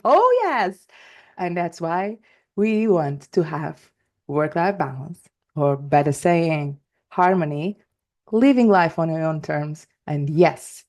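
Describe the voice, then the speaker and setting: A woman with a Portuguese accent hosts her own coaching podcast. Her voice sits fairly low, and her talk is energetic and emphatic. She keeps restarting her sentences and leaning on fillers.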